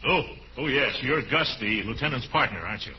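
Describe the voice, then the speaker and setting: On a dull, faintly noisy old radio recording, an actor plays a pompous, indignant man in a comedy show. He blusters and exclaims in a mid-pitched voice, in 1940s radio style. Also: lively intonation, a little fast, natural voice. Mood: blustering.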